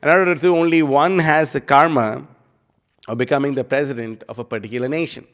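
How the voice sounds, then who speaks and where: Brief: man teaching a scripture class, smooth and resonant, loose filler-heavy delivery, long hall reverb. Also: medium pitch, steady tone, fast talker, fairly bright tone. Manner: casual, rambling, many fillers like "you know" and "like". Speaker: class speaker. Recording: live stream in a reverberant hall.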